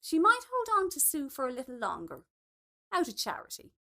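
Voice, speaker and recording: A woman narrates a literary short story in a high voice. Her reading is expressive, with pitch that moves widely from phrase to phrase. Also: fairly quick, gravelly. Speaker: story reader.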